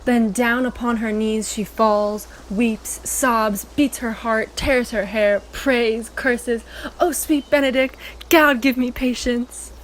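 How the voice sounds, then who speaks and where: A woman as a high-voiced, sly young lord. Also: steady tone, slow pace, a little hiss or hum, rough recording. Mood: teasing. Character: young lord, sly, teasing conspirator and prankster. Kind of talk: amateur Shakespearean radio theatre acting.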